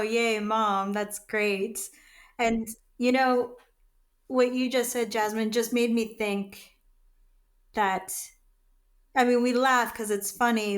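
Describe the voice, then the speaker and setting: A woman hosting an interview, talking in a chatty, reflective way with many fillers. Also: mid-range voice. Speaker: host, leadership coach. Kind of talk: casual interview talk.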